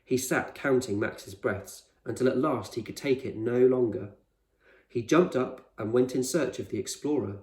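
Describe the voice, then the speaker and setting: A man's voice: mid-range voice, normal speed, darkish tone. Man reading a story, in a roomy echo. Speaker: teacher reading a novel aloud. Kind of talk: scripted narration, children's audiobook reading. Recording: roomy echo, distant microphone.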